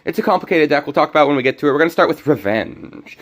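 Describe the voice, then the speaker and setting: Slightly hoarse young male streamer, higher pitch. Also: lively intonation, rapid-fire. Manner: rambling, self-correcting. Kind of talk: casual gameplay commentary.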